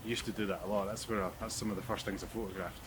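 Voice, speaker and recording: A man's voice: on the low side, fairly even intonation, rapid-fire. A man with a Scottish accent chatting casually in an understated, hesitant way. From Scotland. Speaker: interviewee, landscape photographer. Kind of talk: casual chat. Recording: noisy outdoor street recording.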